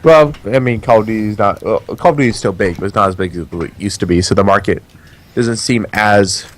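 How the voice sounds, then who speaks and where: A man's voice: fairly low pitch, a little fast. A man chatting casually as co-host of a game stream, rambling and swearing freely. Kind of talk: casual chat over gameplay.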